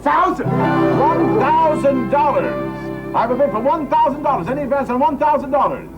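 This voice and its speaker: Commanding female auctioneer, very high voice, brisk, formal, emphatic, TV drama, loud music behind. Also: animated, muffled recording. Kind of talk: television drama acting, auction calling.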